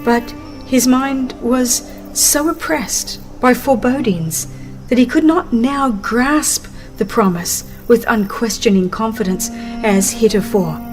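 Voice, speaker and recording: Slow, solemn woman narrator over music. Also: fairly high pitch, low-quality recording. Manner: solemn, measured storytelling. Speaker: audiobook narrator. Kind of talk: dramatized scripted narration of a religious book. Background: background music.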